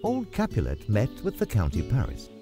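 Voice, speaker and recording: A man reading scripted storybook narration in a dramatic, deliberate manner. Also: fairly low pitch, wildly swooping pitch. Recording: music behind the voice. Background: plucked guitar music.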